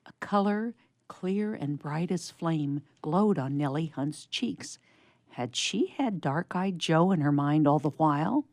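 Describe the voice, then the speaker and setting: Low, creaky-voiced woman narrator. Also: expressive, slow pace, a little mellow, no echo, clean studio sound. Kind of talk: scripted storybook narration for radio theatre.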